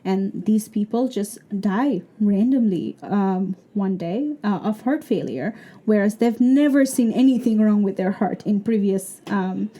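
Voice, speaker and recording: A woman's voice: medium pitch, a little slow, mellow. A woman from Bangladesh with a Bangladeshi accent, a PhD student explaining her research thoughtfully with many fillers in an interview. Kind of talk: interview answer.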